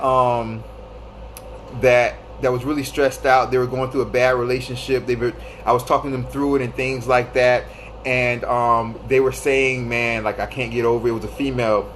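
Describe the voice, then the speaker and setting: Brief: male live-stream host, thirties, mid-pitched and easygoing, repeats himself, faint hiss behind. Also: poor audio. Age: 30s. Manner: loose and repetitive, greeting viewers by name. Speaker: livestream host. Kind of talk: casual live-stream advice talk.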